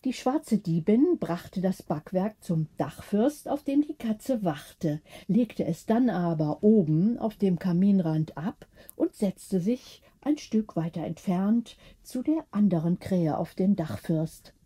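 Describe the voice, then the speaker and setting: Lady reading a bedtime audiobook, slow n soft-toned, bit husky. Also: medium pitch, expressive. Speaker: audiobook narrator. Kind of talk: scripted bedtime story narration.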